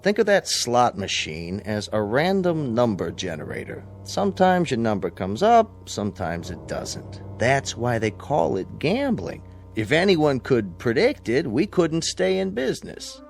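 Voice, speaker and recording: An actor playing a smooth-talking casino manager in a radio drama, persuasive and placating like a salesman. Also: mid-range voice, very animated, measured pace, a little hiss or hum.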